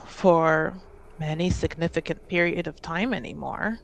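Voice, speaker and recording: A woman playing a grieving grandchild who pleads earnestly and haltingly in a tabletop roleplaying game. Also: low-pitched, creaky, poor audio. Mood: earnest pleading. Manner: slow, halting, earnest delivery. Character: Ade, sincere, grieving grandchild pleading with an estranged relative.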